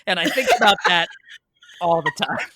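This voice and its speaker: An American man tells stories in a casual podcast chat. His voice is bright and forceful, and the sound is close and dry. He is animated and emphatic and swears freely as he goes. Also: high voice, normal speed, no echo, poor audio. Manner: animated, emphatic, profane storyteller. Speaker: podcast guest.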